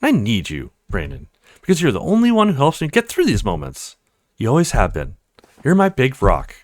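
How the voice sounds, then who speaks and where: A man's voice: mid-range voice, wildly swooping pitch, normal speed, dry recording, studio quality. A man voicing a petite, insecure young woman in a visual novel, teasing and affectionate, with a plaintive, playful way of talking. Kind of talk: visual novel character read-aloud.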